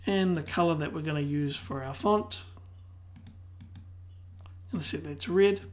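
A tutor losing their voice who keeps clearing their throat, calmly explaining a software tutorial one step at a time. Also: mid-range voice, slow. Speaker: web design tutor. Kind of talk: screen-recorded software tutorial.

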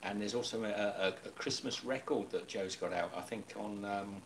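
A man's voice: lowish voice, normal speed, a crowd in the background. A middle-aged man hosting a casual live stream from his home kitchen, chatty and rambling. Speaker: host. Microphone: webcam on table.

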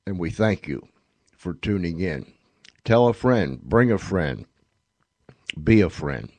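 A man hosting a radio show, giving sign-off announcements in a deliberate way, breaking his phrases with pauses. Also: low-pitched, big pitch swings, darkish tone, no room sound.

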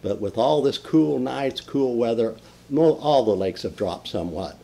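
Older husky-voiced man hosting a fishing report. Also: medium pitch, animated, a little slow, mellow. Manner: enthusiastic, rambling with fillers and restarts. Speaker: fishing show host. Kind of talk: folksy regional fishing report. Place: indoor den with mounted fish on the wall.

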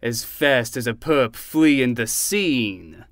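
A man's voice: medium pitch, animated, slow, fairly bright tone, no echo. A closely recorded, dry-sounding uncle played by a man in a podcast drama. He is playful and wry, humoring his nephew like a bartender with a regular, and affectionate underneath. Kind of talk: naturalistic fiction podcast acting.

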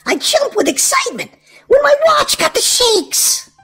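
Female children's story host, extremely high voice, hugely varied sing-song delivery, music underneath. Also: very animated, unhurried, a bit bright. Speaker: host and reader of a children's read-aloud show. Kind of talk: animated children's storybook narration.

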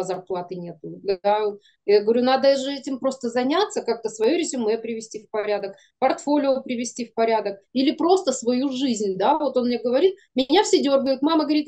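A middle-aged woman with a fairly high voice and a very soft, mellow tone. She talks casually, as a guest in a conversation over a video call. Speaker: guest, animation studio producer. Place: indoor room, video call.

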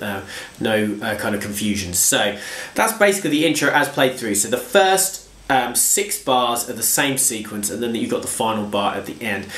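Stuffy-nosed guitar teacher, echoey room. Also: male voice, mid-range voice, a bit bright. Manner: chatty, self-deprecating, counts strums aloud. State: congested from a cold. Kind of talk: casual guitar lesson. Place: home room with bookshelves.

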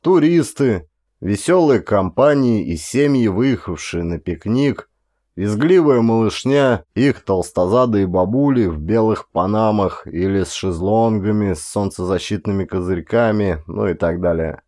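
A man's voice: on the low side, measured pace, dark tone. A middle-aged man reading a short story as an audiobook narrator, in a dramatic scripted style.

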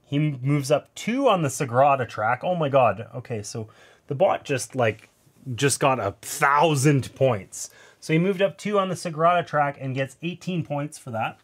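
A man's voice: medium pitch, animated, moderate pace. A man in his thirties with a nasal voice, casually talking through a board game play-through from a gaming table indoors, explaining each move with wry asides.

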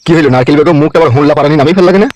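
Man playing a sly, fast-talking Bengali village official. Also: a little high, a little mellow, dry recording, close to an everyday voice. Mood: flirtatious, smug. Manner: teasing, insinuating, vulgar. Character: crude, sly, self-important village official. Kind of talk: comic cartoon dialogue.

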